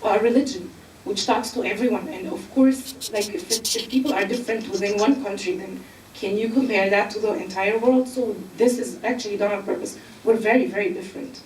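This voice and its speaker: A woman from Egypt speaking on a panel, explaining earnestly with filler pauses. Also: medium pitch, darkish tone, recorded from a distance, slight background noise. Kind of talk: panel discussion. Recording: echoey room, mic from afar.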